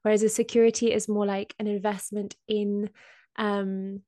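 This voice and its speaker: A woman with a slight cold, explaining finance topics in a casual podcast chat with many pauses and ums. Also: medium pitch, little pitch movement, warm tone, no echo. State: has a cold. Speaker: co-host explaining crypto.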